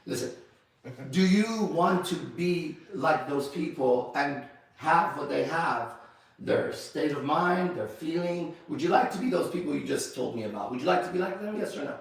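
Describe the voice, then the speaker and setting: A man hosting a men's group discussion who preaches in a forceful, confrontational way and repeats himself. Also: a little high, expressive, normal speed, vocal fry. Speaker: host and leader of a men's group. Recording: very echoey room, distant mic.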